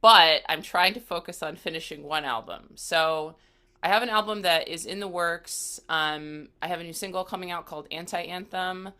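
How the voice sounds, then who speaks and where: A woman's voice: on the low side, normal speed, sharp tone. A young adult woman, a musician being interviewed, speaking casually in a laid-back conversational way. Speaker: musician guest, singer and guitarist.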